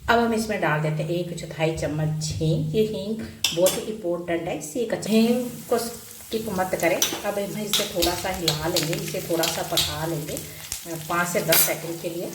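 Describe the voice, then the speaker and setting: A woman home cook gives an instructional recipe tutorial, explaining each step and repeating as she goes. Her voice sits a little low. The sound is roomy and echoey, with noticeable sizzle, stirring and clicks of cooking behind her. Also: normal speed. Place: indoor home kitchen. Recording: roomy kitchen echo, loud cooking noise.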